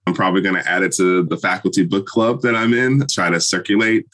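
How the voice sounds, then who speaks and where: A man's voice: sits low, steady tone, a little fast, fairly bright tone. A man hosting a podcast, chatting with enthusiastic praise in a casual way. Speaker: podcast host.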